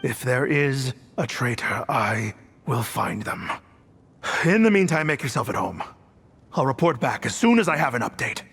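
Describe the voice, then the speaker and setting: A man's voice: medium pitch, very animated, moderate pace, vocal fry, a bit bright, slightly rough, exaggerated voice. Echoing, emphatic, proud desert tribesman. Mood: resolute. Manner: emphatic, earnest. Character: proud, desperate, gullible male desert tribesman leader. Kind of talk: video game voice acting. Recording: long reverberant hall echo.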